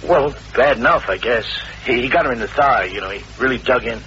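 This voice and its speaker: A man's voice: medium pitch, brisk, pushed and bright, close to an everyday voice. A male actor plays a worried young working-class father. He talks in a colloquial, hesitant way in an old radio drama. The recording is muffled and lightly noisy. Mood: earnest, uneasy. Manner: hesitant, plain-spoken, colloquial.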